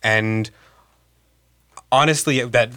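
A man's voice: medium pitch, very slow, bright, forceful tone, no room sound. An enthusiastic, emphatic man giving conversational interview answers as a playwright.

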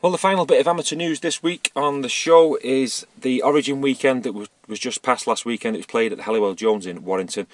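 A man who is losing his voice, reading amateur rugby league results and fixtures in a steady, list-like way. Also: medium pitch, dry recording.

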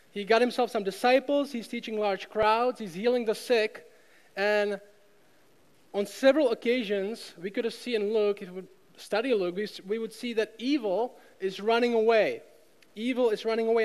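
High-voiced Czech preacher in a reverberant hall. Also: male voice, darkish tone. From Czech Republic. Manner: emphatic repetition, rhetorical questions. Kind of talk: sermon.

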